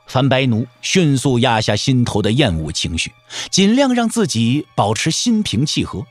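A man's voice: medium pitch, very animated, normal speed, faint music behind. A man narrating a martial arts audio drama in a dramatic, emphatic storytelling style.

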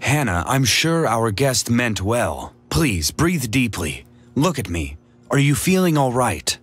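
Game role: gentle tinkerer husband, middle-aged, husky voice with lively swings, polite and hesitant. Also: male voice, mid-range voice, takes it slowly, faint music behind, full character voice. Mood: soothing, apologetic. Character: mild, apologetic male inventor and anxious husband. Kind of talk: video game voice acting.

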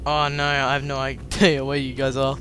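Excitable young man lost in a game. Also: mid-range voice, moderate pace. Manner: hesitant, excitable, urging others to run. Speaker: gamer playing with friends. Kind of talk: casual chat over game. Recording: game music behind.

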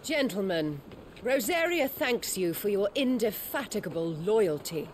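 Imperious duchess, swooping intonation. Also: female voice, mid-range voice, sing-song, unhurried, slight background noise. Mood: regal. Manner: formal, haughty. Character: imperious, icy noble duchess and mother. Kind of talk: scripted video game drama.

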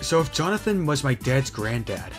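A performer playing an excitable, naive teenage boy in a comedic anime fan dub, eager and exclamatory. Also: medium pitch, normal speed, faint music behind, lightly acted. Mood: excited, amazed.

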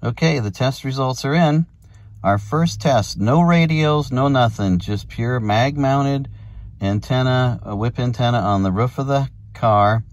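A man's voice: medium pitch, a little slow. A middle-aged man with an American accent, casually explaining his hobby in a plain-spoken, folksy way as a vlog host. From United States. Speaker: ham radio hobbyist and video host. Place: outdoors in an open field, partly inside a parked car.

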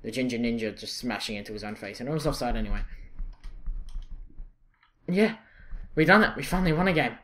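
Young scottish guy narrating his football game career, chatty, lots of uhs, gets excited. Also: mid-range voice. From Scotland. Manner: chatty, excitable, many fillers and self-corrections. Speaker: gaming channel host. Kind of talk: casual gameplay commentary.

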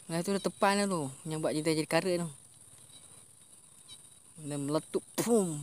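A young man with a Malaysian Malay accent giving casual commentary while fishing outdoors, bursting into excited shouts when a fish strikes. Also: fairly high pitch, moderate pace, a little hiss or hum. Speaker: fishing vlogger. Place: outdoors by a swampy plantation stream.

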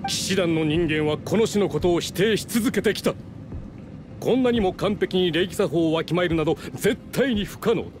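A man's voice: high-pitched, a little hiss or hum. A man voicing a haughty, scheming nobleman in a Japanese video game, speaking formally and condescendingly. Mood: proud. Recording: game music behind the voice.

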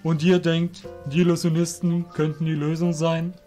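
Man as a proud, forceful fairy warrior. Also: fairly high pitch, level tone, moderate pace, soft background music, natural voice. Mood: sceptical. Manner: loud, formal, challenging. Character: proud, forceful male fairy beast master. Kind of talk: amateur audio drama acting.

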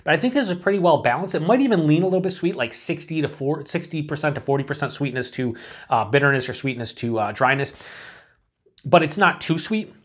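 Forty-something guy rambling thru a beer review, kinda husky, a bit high-pitched. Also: expressive, brisk. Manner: chatty, thinking aloud with many fillers and restarts. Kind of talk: casual beer review to camera. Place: small indoor room with shelves of beer cans.

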